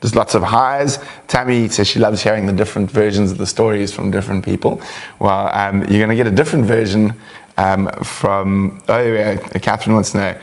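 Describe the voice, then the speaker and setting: Low-voiced man hosting a relaxed live chat. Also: moderate pace, vocal fry. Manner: relaxed, chatty, laughs often, many "um"s. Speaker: host of a live wildlife show. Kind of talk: casual live-stream chat, reading viewer questions.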